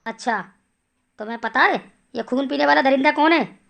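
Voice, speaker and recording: A woman voicing a calm, fatherly male holy man who speaks solemnly in an animated horror story. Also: high-pitched, normal speed, creaky.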